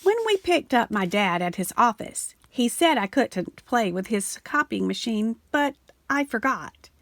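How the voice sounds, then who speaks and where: A woman reading a children's picture book aloud in an animated storytelling way, slowing down and sinking low on the repeated refrain. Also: no room sound. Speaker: storybook reader.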